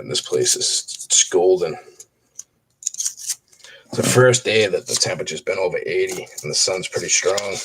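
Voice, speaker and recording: A man with a fairly low voice talks in a loose, rambling way, full of ums and restarts, as he sorts coins on a live stream. A faint hiss of noise sits under the recording. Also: poor audio. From Boston area. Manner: rambling, relaxed, many fillers and restarts. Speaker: coin roll hunter streaming. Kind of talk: casual live-stream chat while sorting coins.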